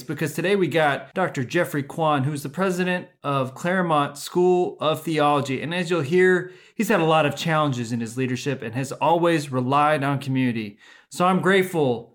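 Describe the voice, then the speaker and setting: A man hosting an audio series, talking in an upbeat, friendly way. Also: on the high side. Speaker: podcast host. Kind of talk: podcast interview.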